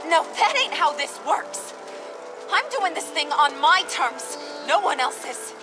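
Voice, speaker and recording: High, swooping, taunting clown villainess. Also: female voice, animated, takes it slowly, music in the background, heavily acted. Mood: defiant. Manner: mocking, theatrical, sing-song taunts. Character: brash, cocky, taunting female criminal clown turned government agent. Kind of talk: video game character acting.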